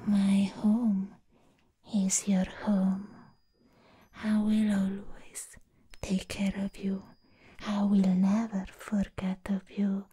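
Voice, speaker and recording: A young woman performing a hushed, whispered ASMR roleplay as a sweetly possessive living doll, pausing deliberately, close to the microphone. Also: mid-range voice, monotone. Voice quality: breathy whisper. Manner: slow, hushed, deliberate, with long pauses.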